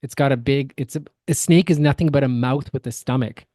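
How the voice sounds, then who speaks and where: A woman's voice: rumbling low, a little fast, mellow, no echo, studio quality. A woman co-hosting a podcast, giving opinionated, mocking reaction commentary in a casual style. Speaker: podcast co-host.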